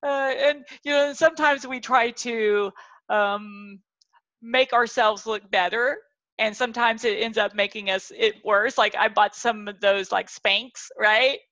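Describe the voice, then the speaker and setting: A woman hosting a casual chat, talking in an animated way and often laughing as she tells her stories. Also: unhurried. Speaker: talk show host. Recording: dull, muffled low-fidelity audio.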